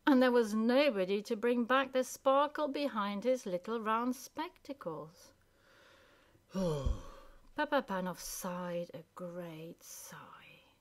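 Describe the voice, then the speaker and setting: A woman narrating a children's story as scripted reading, with deliberate pauses between phrases. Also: mid-range voice, animated.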